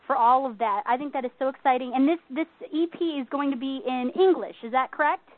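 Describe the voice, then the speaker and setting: A woman hosts an interview with a high, bright voice and effusive enthusiasm. Her sound is dry and tinny, like a phone line, with no room around it. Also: fairly quick, no room sound. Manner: gushing, effusive praise. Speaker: host. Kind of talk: interview show hosting.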